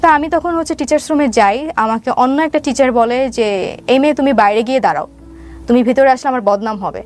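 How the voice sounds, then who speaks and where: A woman's voice: sits high, a little fast, faint music behind. A young woman with a Bangladeshi accent telling a personal story as an interview guest, hesitant and leaning on filler phrases. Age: young adult.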